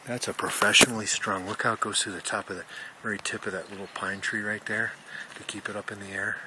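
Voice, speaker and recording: A man with a fairly low voice gives a casual, folksy walk-through in the manner of a hobbyist. He rambles as he explains, speaking into a handheld camera outdoors. The recording carries clear background noise. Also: flat delivery, fast talker. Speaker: amateur radio hobbyist. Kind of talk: casual handheld video tour. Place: outdoors in wooded mountain country. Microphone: handheld camera microphone. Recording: noisy outdoor camera audio.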